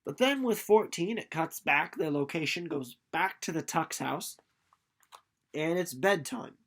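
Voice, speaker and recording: A man's voice: a little high, lively intonation, measured pace, fairly bright tone. A young man giving a casual, informal book recap, playful and rambling off into asides. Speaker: book reader and presenter.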